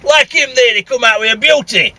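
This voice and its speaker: A man's voice: very high voice, brisk, bright, forceful tone, dry recording. A man with a Northern English accent rambling casually and bluntly in a vehicle, grumbling and swearing as he goes. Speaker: CB radio vlogger. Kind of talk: casual rambling monologue while driving. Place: inside a vehicle.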